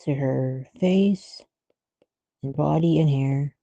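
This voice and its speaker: A woman's voice: sits low, takes it slowly, creaky, warm tone, no room sound, muffled recording. A woman thinking aloud in casual livestream art commentary, musing and often trailing off mid-thought. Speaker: artist streamer thinking aloud while drawing.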